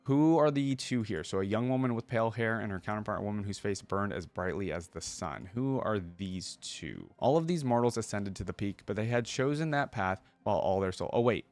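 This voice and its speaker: A man's voice: fairly low pitch, animated, a little fast, hardly any pauses, darkish tone. A man hosting a reaction video, reading a story aloud and breaking off to muse and explain in a casual way.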